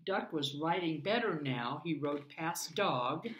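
A woman in her sixties reading a children's story aloud in an expressive, deliberate way. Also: low-pitched, slight background noise. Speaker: storybook reader. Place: home dining room, indoors. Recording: roomy echo, distant room microphone.

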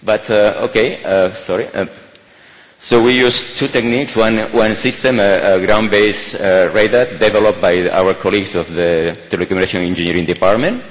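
Older man, deep voice, English, halting keynote lecture, many uh fillers, podium mic, hall reverb. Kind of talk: conference lecture. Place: large conference hall. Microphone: podium microphone.

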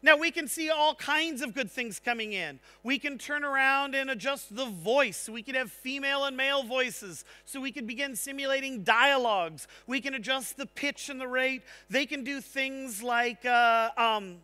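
A man speaking on a large conference stage, giving an energetic technical talk and checking in with the audience. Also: extremely high pitch, normal speed, few pauses. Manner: energetic, engaging, asks the audience "right?".

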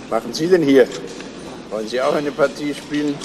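An actor playing a decent, guarded older retired schoolteacher and chess player in a German crime radio drama. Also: male voice, fairly high pitch, a little fast, natural voice. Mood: surprised, polite. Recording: noisy radio drama with background ambience.

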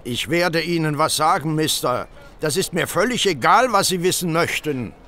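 A man acting a surly, irritable London poultry dealer in an audio drama, gruff and combative. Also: on the high side, animated, normal speed, bright, forceful tone, slight background noise. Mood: irritated.

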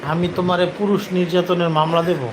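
A young man talks casually to viewers as a live streamer. His voice sits a little high for a man. Clear background noise runs through the recording. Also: flat delivery, a little fast.

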